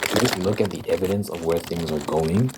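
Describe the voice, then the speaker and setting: A man's voice: sits low, brisk. A man hosting an ASMR video, rambling casually in an informal monologue and repeating phrases for emphasis. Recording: clear typing and crinkling noise.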